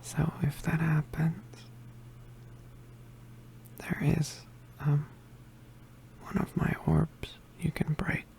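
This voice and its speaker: A performer voicing an injured, poisoned young male witch in an ASMR roleplay, weak and apologetic, mostly whispering in halting, pained breaths. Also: mid-range voice, monotone, a little mellow. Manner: halting, pained, with sighs and throat clearing.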